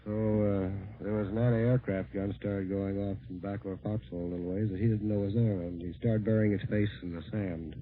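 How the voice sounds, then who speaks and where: A young American man, a serviceman, chatting casually and a little self-consciously with family over 1940s radio, with halting speech. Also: fairly low pitch, steady tone. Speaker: serviceman speaking to family on air. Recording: tinny band-limited 1940s radio broadcast.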